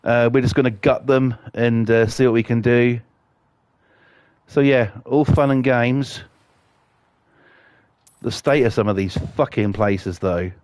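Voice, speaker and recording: A man's voice: medium pitch, a little slow, long pauses, a little mellow, smooth, dry recording. A man with a cold, chatting casually and swearing while streaming a game, with lots of fillers. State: has a cold.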